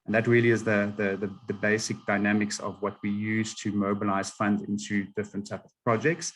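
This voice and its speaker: A South African man presenting slides to a webinar audience, steady and explanatory with frequent ums. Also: fairly low pitch, a little fast, slight background noise. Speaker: webinar panelist.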